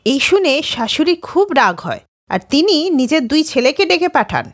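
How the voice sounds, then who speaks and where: Woman reading a kids story, really high voice, very animated delivery. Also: normal speed, no room sound, clean studio sound. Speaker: narrator. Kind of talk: scripted children's story narration.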